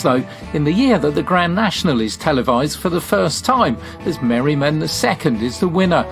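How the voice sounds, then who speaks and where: A male radio presenter with a fairly high, very animated voice. He delivers playful scripted links for a music show, with some music faintly behind him.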